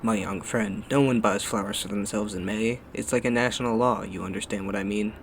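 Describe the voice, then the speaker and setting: A man's voice: fairly low pitch, steady tone, fairly quick, gravelly, a little hiss or hum. A man playing an old street flower seller in a radio play, with folksy, familiar salesman patter. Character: chatty old male street flower vendor.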